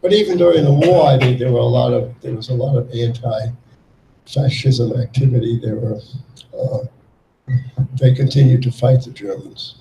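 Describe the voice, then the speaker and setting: An older man giving a talk to an audience, speaking haltingly with many fillers. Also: medium pitch, creaky, dark tone, a little hiss or hum. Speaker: guest speaker, child refugee. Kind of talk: public talk with slides. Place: lecture hall before an audience. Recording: echoey hall.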